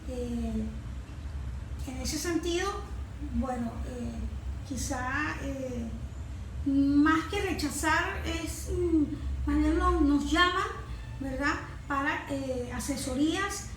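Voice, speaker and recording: A woman with a Venezuelan accent explaining her work as an environmental consultant in an interview, haltingly, searching for words and repeating phrases. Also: high-pitched. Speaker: environmental consultant and forestry engineer being interviewed. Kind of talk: interview answers, explanatory talk. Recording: echoey room with clear background noise.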